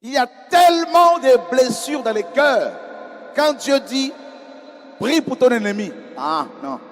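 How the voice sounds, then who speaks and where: A man preaching a sermon in a conversational way, often questioning the congregation and moving from quiet asides to loud cries. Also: extremely high pitch, sing-song, slow pace. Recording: hall reverb with faint ambience.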